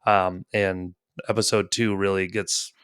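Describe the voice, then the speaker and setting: A man's voice: sits low, little pitch movement, measured pace, a bit bright, clear, resonant tone. A man in his thirties hosting a casual gaming podcast, rambling with lots of fillers and easy laughs. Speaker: podcast host.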